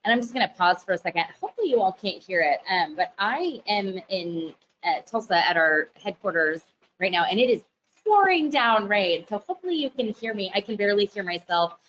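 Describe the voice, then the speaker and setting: A woman with a loud voice at a middle pitch walks listeners through legal rules in a conversational webinar. She explains patiently and corrects herself as she goes. The audio sounds a little dull, as from an online meeting. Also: very animated, fairly quick, slight background noise. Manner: conversational and explanatory, frequent fillers and self-corrections. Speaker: company lawyer presenting a compliance webinar. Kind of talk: webinar presentation. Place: office at company headquarters, heavy rain outside.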